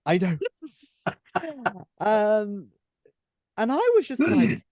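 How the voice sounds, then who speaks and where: A man's voice: high-pitched, huge pitch swings, very slow, a little mellow, dry recording, clean studio sound. A man talking effusively and emphatically in a casual group chat. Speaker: audio drama writer and performer.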